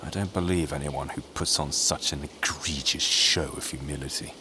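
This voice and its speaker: Deep-voiced, theatrical political schemer. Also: male voice, steady tone, moderate pace, sharp tone, a little hiss or hum, exaggerated voice. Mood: wry, calculating. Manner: grandiose, silky, speechifying. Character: scheming, theatrical male political conspirator relishing intrigue. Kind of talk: scripted audio drama dialogue.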